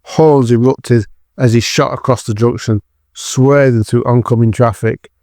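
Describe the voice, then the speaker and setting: A man's voice: mid-range voice, fairly even intonation, unhurried, mellow, no echo. Guy narrating a dramatic audio drama, mid-range voice, really dry studio-like sound. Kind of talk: scripted dramatic story narration.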